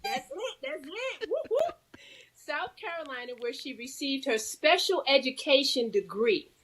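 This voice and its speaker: A woman with a high voice co-hosts a live talk show. She is playful and exuberant, slipping easily between reading aloud and informal chat. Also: sing-song, measured pace, fairly bright tone, rough recording.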